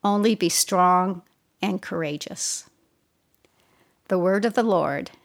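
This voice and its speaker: A woman reading scripture aloud in a solemn, measured, deliberate way. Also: lowish voice, gravelly, dry recording, clean studio sound. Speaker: scripture reader.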